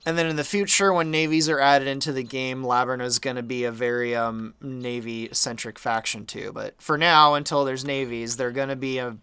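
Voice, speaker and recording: A man's voice: mid-range voice, fairly even intonation, moderate pace, hardly any pauses, bright, forceful tone, clean tone, dry recording. A young man with a slight sore throat, giving a chatty, rambling update about his game projects. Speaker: game modder and channel host. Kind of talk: casual development update talk.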